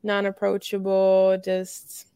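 A young woman from Finland talks through her story with plenty of ums and little laughs. Her voice sits in an even, narrow band, with a gravelly edge under a smooth, resonant tone. The sound is dry and close. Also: medium pitch, slow, dry recording. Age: young adult. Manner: hesitant, many ums, soft laughs. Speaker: college basketball player guest. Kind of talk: casual interview answers.

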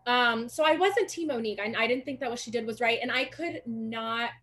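A woman from Brazil, a guest in a casual chat, chatty and opinionated, quick to laugh. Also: fairly high pitch, a bit bright, soft background music.

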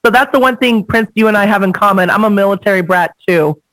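Chatty high-voiced podcast host. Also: male voice, fast talker, a bit bright, no room sound, low-quality recording. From California, United States. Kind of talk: casual podcast conversation.

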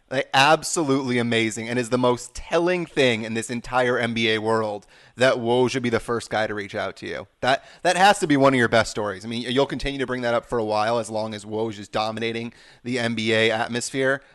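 A man's voice: mid-range voice, fairly quick, fairly bright tone. Male sports podcast host, mid-range voice, effusive and emphatic delivery. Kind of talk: sports podcast interview and sign-off.

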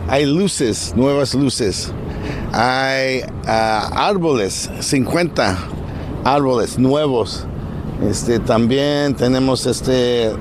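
A man's voice: mid-range voice, extremely slow. A man giving interview answers with a non-native accent of an English speaker, searching for words. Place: outdoors in a park. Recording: noisy outdoor park, birds and traffic.

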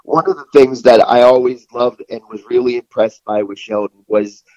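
Dull lo-fi sound, mellow guy breaking down sports as a guest, kinda halting. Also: mid-range voice, no room sound. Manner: halting, repeats words. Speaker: guest football reporter. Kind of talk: casual sports analysis. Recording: muffled, lo-fi call sound.

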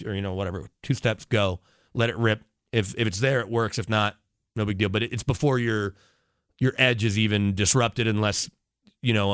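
A man's voice: mid-range voice, fairly bright tone, dry recording, studio quality. A man talking as a sports radio analyst in casual conversation, opinionated and emphatic, often digressing as he makes his points.

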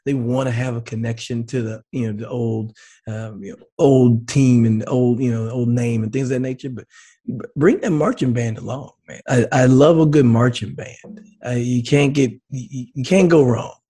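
Laid-back co-host, dark husky voice. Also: male voice, mid-range voice, normal speed. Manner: relaxed, laid-back, lots of fillers. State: slightly raspy, scratchy throat. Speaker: co-host, former player. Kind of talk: casual sports talk.

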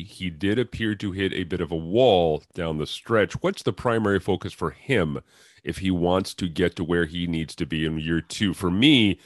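A man hosts a sports podcast in a fairly low voice. The sound is dry, with very little room in it. He moves through prepared intros and interview questions. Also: no echo.

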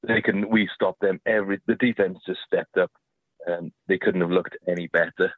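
A man's voice: sits low, fairly even intonation, normal speed, dry recording. A man from the UK leaving an eager, rambling voicemail as a caller. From United Kingdom. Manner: rambling with many restarts, eager. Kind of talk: voicemail monologue. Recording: tinny phone line.

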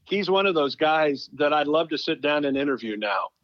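Middle-aged male broadcaster, fairly high voice, conversational storyteller, emphatic, dry but slightly dull recording. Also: lively intonation, normal speed, no room sound. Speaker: sports broadcaster, interview guest.

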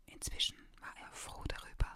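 A narrator reading a youth novel in a breathy, unvoiced whisper, in the style of an audiobook. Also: moderate pace.

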